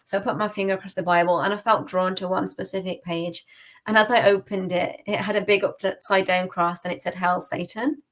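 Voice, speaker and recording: A woman's voice: medium pitch, level tone, a little fast, a bit bright. A young British woman telling her personal story as a podcast guest in a casual, chatty way. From United Kingdom. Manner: informal, chatty, frequent "like". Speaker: podcast guest giving testimony.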